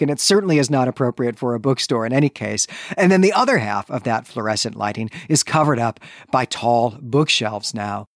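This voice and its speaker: A man's voice: mid-range voice, no echo, clean studio sound. A man hosting a podcast, giving a conversational, bookish literary recap with wry asides. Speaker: podcast host.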